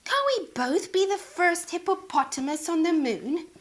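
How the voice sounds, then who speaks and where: A woman's voice: extremely high pitch, lively intonation, moderate pace, voice pushed up. A woman voicing an eager, dreamy and friendly female hippo in a children's storybook, speaking in earnest exclamations. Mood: apologetic, hopeful.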